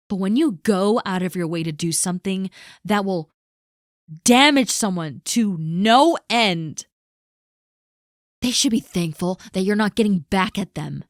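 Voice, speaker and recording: A woman's voice: mid-range voice, no room sound, studio quality. A woman playing an earnest, protective best friend. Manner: earnest, emphatic, often drops to a soft hush. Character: protective, caring young adult best friend. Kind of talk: audio roleplay, spoken to the listener.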